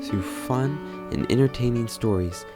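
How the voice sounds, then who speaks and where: A man's voice: mid-range voice, a little slow, loud music behind the voice. A man reading scripted documentary narration.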